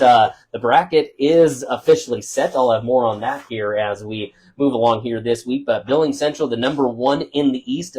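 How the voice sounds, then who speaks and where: A loud, talkative man doing local radio sports commentary after a high school football game. He reels off scores, yardage and playoff seedings in a relaxed postgame wrap-up, with plenty of uh fillers. His pitch sits right in the ordinary middle for a man. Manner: loud, chatty, rattles off scores and stats with frequent uh fillers.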